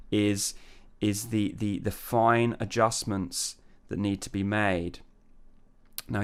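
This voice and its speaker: A male voice coach with a low, smooth, resonant speaking voice. He explains singing technique loosely, thinking aloud with lots of ums and restarts. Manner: relaxed, thinking aloud with many fillers and restarts. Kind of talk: singing lesson with sung falsetto demonstrations.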